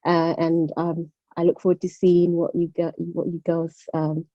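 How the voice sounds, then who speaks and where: A woman's voice: lowish voice, monotone, unhurried, no echo. A young woman from Zimbabwe, raised partly in the UK, giving a casual motivational talk as an online guest speaker. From born in Zimbabwe, moved to the UK aged about nine. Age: young adult. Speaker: guest speaker, former pageant contestant. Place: online video call. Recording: dull, dry lo-fi call audio.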